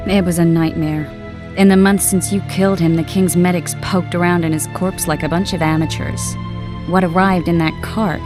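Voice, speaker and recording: An actress playing a composed, learned sorceress in a video game, speaking in a measured, articulate, gently argumentative way. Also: female voice, fairly low pitch, fairly even intonation, music in the background, close to an everyday voice. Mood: composed, reasoning. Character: composed, learned adult sorceress, loyal and reasoning. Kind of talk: video game cutscene acting.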